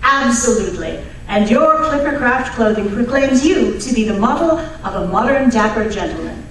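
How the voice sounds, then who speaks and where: A woman's voice: a little high, expressive, moderate pace, a bit bright. Woman as formal radio hostess in very echoey hall. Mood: polite, presenting. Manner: polished, formal presenting. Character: Miss Harris, bright, polite radio program hostess. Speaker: announcer, host. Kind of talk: old-time radio announcing, live performance. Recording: echoey hall from far off, faint noise.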